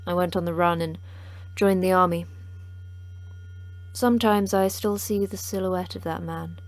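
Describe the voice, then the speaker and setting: An actress playing a terse, blunt station manager at a secret facility in an audio drama. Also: female voice, lowish voice, fairly even intonation, measured pace, natural voice. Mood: wry. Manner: blunt, dry, clipped.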